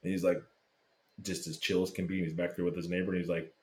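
Low male storyteller, casual and profane, roomy podcast mic. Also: fast talker. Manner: animated, swearing, acts out quotes. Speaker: podcast guest telling a fan story. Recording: roomy echo.

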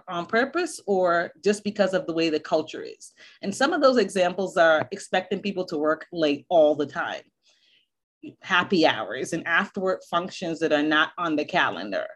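A middle-aged woman school counselor, born in Barbados and raised in Boston, speaking in an earnest, measured way as a podcast guest. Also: lowish voice. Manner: earnest, measured, listing points. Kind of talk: podcast interview.

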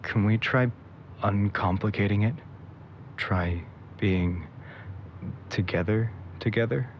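A man playing a gentle, tentative, caring young man in a naturalistic audio drama. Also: lowish voice, takes it slowly. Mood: apologetic, tender. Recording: dull recording with faint background noise.

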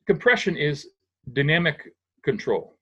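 A man's voice: on the high side, big pitch swings, measured pace, a bit bright, husky, muffled recording. A man who works as a sound engineer, patiently explaining audio equipment in a casual, technical chat, often restarting his sentences.

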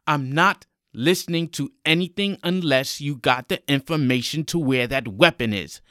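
A man's voice: on the high side, moderate pace, bright, forceful tone, no room sound, clean studio sound, pitched above the performer's own voice. A man voicing a hostile police officer in a dramatic story, barking threats and commands. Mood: threatening.

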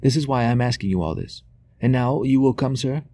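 A man's voice: medium pitch, fairly quick, mellow, slight background noise, voice pushed up, close to an everyday voice. A man voicing a nervous, servile male messenger in a novel, hasty and stammering as he pleads. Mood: nervous, urgent.

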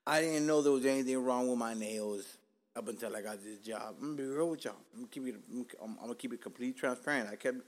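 A man's voice: mid-range voice, fast talker. A man in his thirties, born in Cuba, hosting a casual podcast in a joking, profane, laid-back street-talk manner. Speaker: podcast host.

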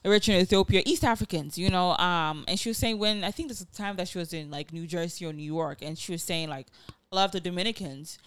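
A woman's voice: fairly low pitch, fast talker, clean tone, dry recording. A woman in her twenties, raised half her life in Nigeria, chats on a podcast in a meandering way, full of fillers and restarts. Her recording is dry, with no room sound. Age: 20s. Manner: rambling, many fillers and restarts. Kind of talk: casual podcast chat.

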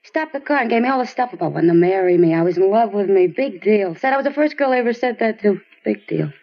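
A woman's voice: mid-range voice, animated, quick pace, close to an everyday voice. A woman playing a flippant, scornful runaway teenage girl in 1950s American radio drama, talking in slang. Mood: dismissive. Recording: dull noisy old radio recording.

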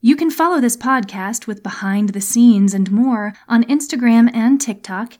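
A woman's voice: fairly high pitch, moderate pace, darkish tone. A woman narrating a scripted audio drama as its storyteller. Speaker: narrator, writer and producer.

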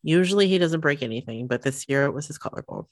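A woman in her thirties co-hosting a podcast, telling stories in relaxed casual chat with lots of fillers, a bit sniffly from the cold. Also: low voice, quick pace, no echo, studio quality. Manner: relaxed storytelling with many fillers. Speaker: podcast co-host.

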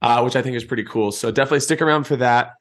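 A man's voice: mid-range voice, racing, fairly bright tone. Young guy podcast co-host, easygoing and jokey, self-deprecating, chats loosely. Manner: laid-back, laughing, self-deprecating. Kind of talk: casual chat.

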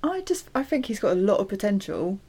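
A woman's voice: medium pitch, big pitch swings, fairly quick, dark tone, slightly rough. A woman co-hosting a podcast, laughing often and giving playful, firm opinions. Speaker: podcast co-host. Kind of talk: casual chat.

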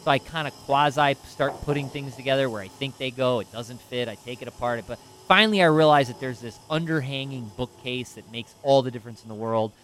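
A man's voice: mid-range voice, a little fast, few pauses, a bit bright, a little hiss or hum. A man in finance telling a self-deprecating story in casual chat, with lots of restarts and asides. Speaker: finance professional.

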